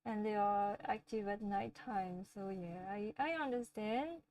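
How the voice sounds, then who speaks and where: A woman from France with a French accent, hosting a livestream and chatting casually with viewers in a gentle, easygoing way, often laughing. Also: mid-range voice, a little slow, far from the mic. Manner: gentle, chatty, laughs often, talks softly to her pets. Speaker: livestream host. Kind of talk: casual live chat answering viewers.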